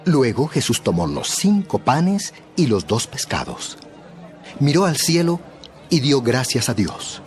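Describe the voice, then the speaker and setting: A man narrating a dramatized Bible story in a measured, expressive storytelling style. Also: mid-range voice, faint music behind.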